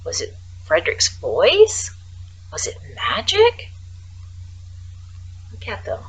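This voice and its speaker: Slow, lilting woman reading a storybook. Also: medium pitch, huge pitch swings, slight background noise, low-quality recording. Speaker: teacher reading aloud. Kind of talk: expressive children's storybook narration with casual asides.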